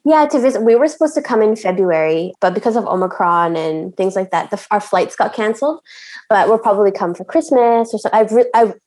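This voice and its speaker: A woman's voice: medium pitch, animated, brisk, darkish tone. A woman from Canada chatting as a podcast guest, laughing often and restarting her phrases as she talks. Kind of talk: casual chat.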